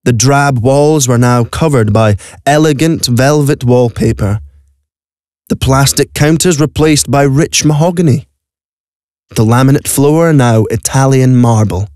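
A man's voice: medium pitch, unhurried, a little mellow, dry recording. Male audio-play narrator, close dry sound. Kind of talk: scripted dramatic story narration. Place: recording studio.

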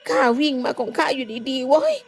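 A coarse, hot-tempered village headman, middle-aged and quick to bark and threaten, as a woman narrator puts him on. His voice is pitched high, loud and rough-edged, as in a told ghost story. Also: sing-song, a little hiss or hum. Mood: gruff annoyed. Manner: shouting, panicky, gruff.

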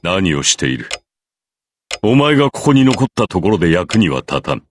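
Cold middle-aged priest, low voice, lots of range, detached and condescending, visual novel style. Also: male voice, big pitch swings, a little hiss or hum. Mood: cold, dismissive. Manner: measured, solemn, condescending. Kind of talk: anime visual novel acting.

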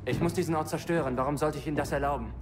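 An actor playing a conflicted, sceptical young imperial soldier in a German video game, questioning and intense. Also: male voice, fairly high pitch, very fast, noisy background, lightly acted. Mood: guarded.

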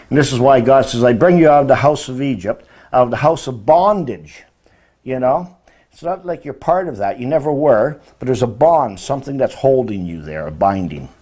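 A man's voice: medium pitch, a little mellow. A man in his sixties teaching religion in an informal, emphatic lecture, often posing rhetorical questions. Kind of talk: informal lecture explaining word meanings. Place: indoor room beside a presentation screen.

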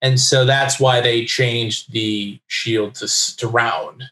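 A man's voice: medium pitch, fairly even intonation, takes it slowly, pushed and bright. A middle-aged man with an American accent, explaining things chattily in a casual interview. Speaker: interview guest, comics writer. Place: book-lined room at home on video call. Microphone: desk microphone on video call. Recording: roomy, echoey home recording.

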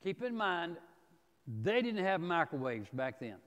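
High-voiced preacher in a reverberant hall. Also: male voice, big pitch swings, fairly bright tone. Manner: emphatic, folksy, deliberate phrasing with pauses. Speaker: preacher teaching a church Bible class. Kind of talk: expository Bible teaching, reading and paraphrasing scripture. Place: large empty church auditorium, indoors. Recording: reverberant church hall, long echo.

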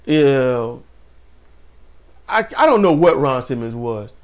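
A man co-hosting a casual wrestling talk show, animated and laughing often, speaking to viewers as y'all. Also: medium pitch, slow. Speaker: podcast co-host. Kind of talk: casual chat about wrestling.

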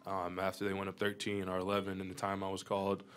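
A man's voice: low-pitched, completely flat delivery, fast, a bit bright, clear, resonant tone. A young man, a college basketball player, answering questions at a postgame press conference with a confident, playful manner, speaking into a table mic. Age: young adult. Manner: confident, playful, talks in long runs. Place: press conference room with sponsor backdrop. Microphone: table mic in front.

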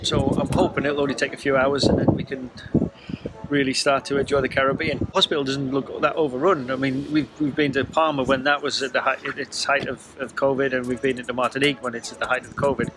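A man's voice: medium pitch, level tone, quick pace, noisy background. A man in his fifties vlogging to his phone on an outdoor hospital terrace, matter-of-fact and understated. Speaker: sailing vlogger. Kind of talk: casual vlog to camera. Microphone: phone camera at arm's length. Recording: loud music bed behind the voice.

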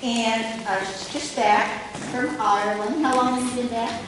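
A woman's voice: fairly high pitch, fairly even intonation, unhurried. Older woman hosting, very echoey hall. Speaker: club host introducing a speaker. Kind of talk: informal welcome to an audience. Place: large hard-walled meeting room. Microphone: handheld mic, heard through room from camera. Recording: echoey hall PA from far off, noisy.

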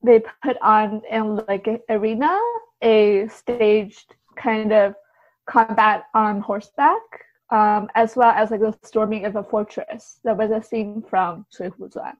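A woman speaking as an interview guest, casually recounting experiences in a halting, think-aloud way. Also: medium pitch, fairly even intonation, no room sound, muffled recording. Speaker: podcast guest, writer and translator.

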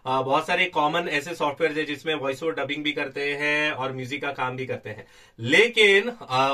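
A man's voice: fairly high pitch, pushed and bright, recorded from a distance. A middle-aged man with an Indian accent explains things to camera like a trainer, emphatic and often checking in, in a small studio. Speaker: voice modulation trainer, host. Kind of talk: explanatory tutorial talk to camera. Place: small padded recording studio, indoors.

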